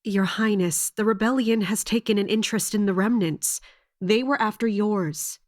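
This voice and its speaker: A woman playing a loyal mercenary captain in a fantasy audio drama, speaking formally and with measured, respectful defiance. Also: medium pitch, lightly acted. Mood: guarded, formal. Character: loyal, resolute female mercenary captain serving royalty.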